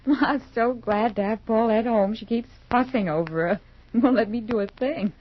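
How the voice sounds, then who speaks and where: A woman's voice: on the high side, measured pace, close to an everyday voice. A woman playing a gracious, playful younger sister in a 1950s radio soap opera, friendly and light. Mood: flustered, evasive. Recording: muffled, hissy vintage radio recording.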